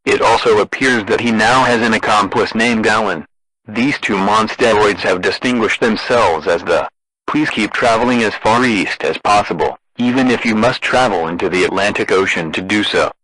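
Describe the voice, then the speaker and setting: A man with a synthetic, deadpan and formal delivery, reading a scripted emergency broadcast announcement. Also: on the low side, normal speed, dry recording, low-quality recording.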